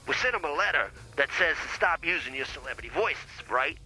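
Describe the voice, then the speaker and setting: A man's voice: on the high side, lively intonation, a little fast, pushed and bright, rough recording. A man playing a blustering small-time lawyer in improvised comedy podcast banter, folksy and rambling, stumbling over his words. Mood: blustery advising. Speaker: lawyer character, show guest.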